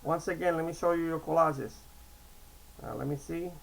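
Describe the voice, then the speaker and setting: High-voiced chatty man in his sixties. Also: steady tone, moderate pace, vocal fry, poor audio. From Cuba. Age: 60s. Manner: chatty, digressive, repeats "okay?" and restarts often. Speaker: vlogger. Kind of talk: casual rambling monologue to camera.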